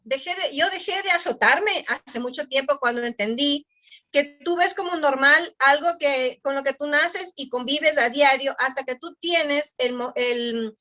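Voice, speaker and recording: A woman from Ecuador with an Ecuadorian Spanish accent, a guest on an interview, who tells stories in a lively way and often says quick yeses. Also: high voice. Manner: lively storytelling, frequent quick affirmations. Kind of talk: conversational interview.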